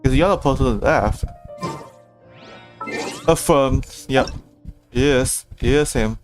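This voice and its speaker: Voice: medium pitch, slow, faint music behind. A video game streamer giving casual, rambling live commentary and reacting aloud to the game.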